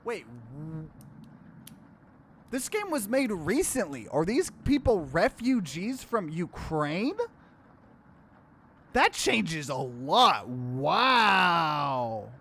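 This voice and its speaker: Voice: medium pitch, sing-song, drawn-out words, pauses often, pushed and bright. A streamer giving casual, reactive game commentary, with some swearing and talk to the viewers. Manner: reactive, profane, addresses viewers. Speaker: let's play streamer. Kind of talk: casual game commentary, reading dialogue aloud.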